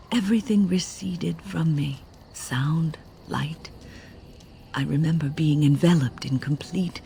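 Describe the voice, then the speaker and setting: An old wise mage lady in a video game, really low soft-edged voice, solemn storytelling. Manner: measured, reflective, formal storytelling. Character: wise, composed elderly mage woman, gravely confessional. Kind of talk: dramatic video game dialogue.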